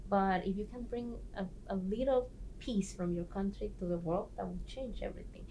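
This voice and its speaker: A woman's voice: mid-range voice, normal speed, a little hiss or hum, low-quality recording. Woman hosting a chat. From Guatemala. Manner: animated, laughs often, searches for words. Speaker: host. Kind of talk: casual discussion.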